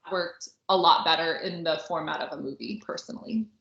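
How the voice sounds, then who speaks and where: A woman's voice: deep voice, fairly quick, gravelly, a bit bright. A woman co-hosting a podcast, chatting casually and informally about books and films, with plenty of "like" in her talk. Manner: chatty, informal, heavy use of "like". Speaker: podcast co-host. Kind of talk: casual book and film discussion. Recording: roomy echo, slightly dull sound.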